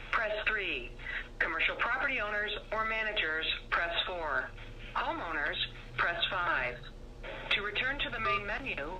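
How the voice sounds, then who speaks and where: A woman announces scripted phone menu options in a measured, formal way, heard over a telephone. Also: medium pitch. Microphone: recorded through a telephone call. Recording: noisy recording of phone line.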